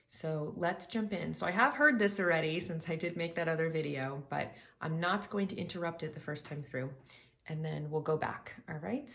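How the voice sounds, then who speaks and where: A woman's voice: low-pitched, vocal fry, a bit bright. A woman vocal coach talking casually to her viewers, chatty, explaining song lyrics line by line. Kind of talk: casual reaction vlog talk.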